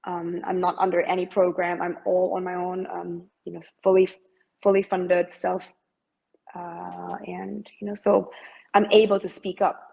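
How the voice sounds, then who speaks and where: A woman's voice: on the low side, monotone, a little slow, creaky, a little mellow, rough recording. A middle-aged woman from Malaysia, a masters swimmer talking as an interview guest, hesitant with many fillers and restarts. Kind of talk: interview conversation.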